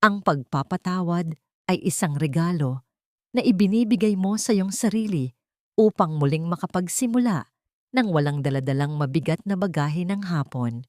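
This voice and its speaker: A woman narrating a scripted dramatic story in a measured, solemn and sentimental manner. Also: lowish voice, expressive, no echo, clean studio sound. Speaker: narrator of audio drama stories.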